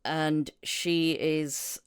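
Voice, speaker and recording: A woman hosting a casual interview podcast, chatty and giggly, with a halting way of putting her questions. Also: low-pitched, flat delivery, clear, resonant tone.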